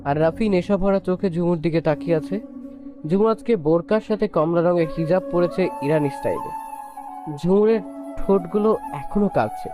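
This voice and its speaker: A man reads a romantic story as a scripted narration. His voice sits high for a man, with clear fiddle-tinged music playing behind it. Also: moderate pace.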